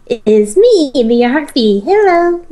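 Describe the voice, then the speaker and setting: A woman's voice: high voice, very animated, takes it slowly, dark tone. A woman chatting casually as a co-player during a video game session. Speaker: co-player and guest commentator. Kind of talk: casual gameplay chat. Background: game music.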